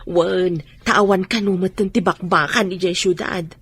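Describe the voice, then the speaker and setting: A woman's voice: lowish voice, steady tone, unhurried, a bit bright, breathy edge, full character voice. An actress with a Filipino accent playing a stern, worried mother in a radio drama. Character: stern, worried mother questioning her daughter.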